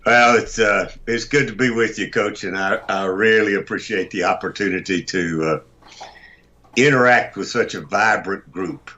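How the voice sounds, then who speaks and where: An older man with a very deep voice, a radio host chatting as a guest and joking about himself. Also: moderate pace, pushed and bright, lo-fi sound. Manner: self-deprecating, joking about his height. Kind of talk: casual chat.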